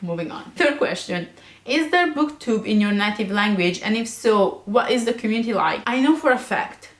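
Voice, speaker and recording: A woman's voice: mid-range voice, lively intonation. Chatty young woman, echoey room. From Italy. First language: Italian. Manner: chatty and a bit nervous, fillers like "like", quick laughs. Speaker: book vlogger. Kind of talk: casual chat to camera.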